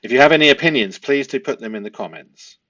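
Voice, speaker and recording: Male commentator, mid-pitched voice, analytical and stop-start delivery, close dry sound on slightly muffled audio. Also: animated, quick pace, sharp tone, no echo. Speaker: host, statement analysis commentator. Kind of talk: analytical commentary reading transcript excerpts aloud.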